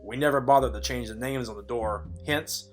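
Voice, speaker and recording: Casting: man to play world-weary private eye narrator for noir spoof audio play, mid-range voice, dry deadpan wit. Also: medium pitch, a little fast, faint music behind, natural voice. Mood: wry, deadpan. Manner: deadpan, dryly wry. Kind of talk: film noir parody narration.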